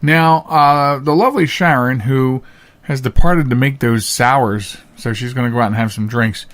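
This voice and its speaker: A man's voice: medium pitch, normal speed, fairly bright tone. A man hosting a podcast with casual, chatty monologues to listeners, talking in a folksy, rambling showman's way. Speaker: podcast host.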